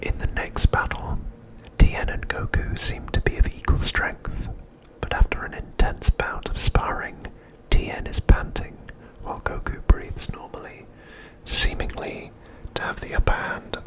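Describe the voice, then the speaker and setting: A man whispering a scripted recap as ASMR narration, softly and with deliberate pauses, close to the microphone. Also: poor audio.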